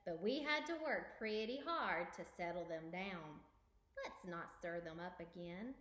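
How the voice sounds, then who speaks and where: A woman voicing a kindly, patient old grandfather in a storybook, speaking in a gentle, measured, reassuring manner. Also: lowish voice, roomy sound. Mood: warm, encouraging.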